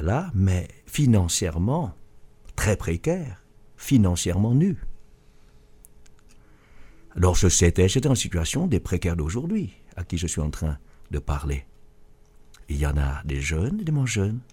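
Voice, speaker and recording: A man's voice: low voice, warm tone, slightly rough. A middle-aged man from Vietnam, a guest author, answering interview questions earnestly with frequent restarts. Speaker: guest author and business leader.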